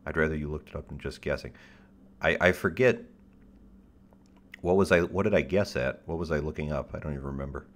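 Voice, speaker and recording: A man hosting a livestream in casual chat, with a deep radio-style voice, rambling in stop-start phrases with a wry, self-deprecating tone and casual swearing. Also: fairly quick, pauses often. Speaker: livestream host.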